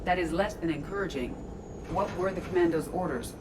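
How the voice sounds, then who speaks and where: A woman playing a calm female starship intelligence in a video game, even and formal in manner. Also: fairly low pitch, brisk, background noise, natural voice.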